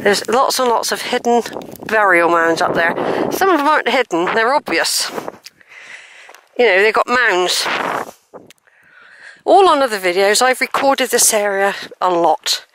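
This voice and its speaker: A woman's voice: mid-range voice, lively intonation, a little slow, long pauses, noisy background. An older woman, chatting casually to camera as she walks through open fields, rambling with asides and self-corrections. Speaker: walking vlogger. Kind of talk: chatty walking commentary to camera. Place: open hilly fields outdoors. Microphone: handheld camera mic while walking.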